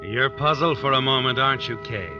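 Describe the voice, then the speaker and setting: An actor playing an ominous, all-knowing storyteller, narrating an old-time radio mystery in a suspenseful, insinuating manner. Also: male voice, mid-range voice, fairly quick, natural voice. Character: ominous, all-knowing shadowy storyteller who walks by night. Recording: muffled vintage radio recording. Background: music.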